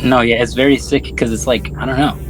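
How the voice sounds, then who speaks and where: A man's voice: mid-range voice, fairly even intonation, brisk, over music, rough recording. A man hosting a podcast in a casual, slangy film discussion, swearing freely. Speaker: podcast host.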